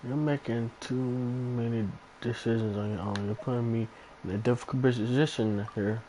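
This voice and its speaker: A man's voice: medium pitch, steady tone, moderate pace. Male game streamer: mid-range voice, reads lines aloud, chats casually, faint noise behind. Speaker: game livestreamer. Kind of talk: reading game dialogue aloud, casual comments.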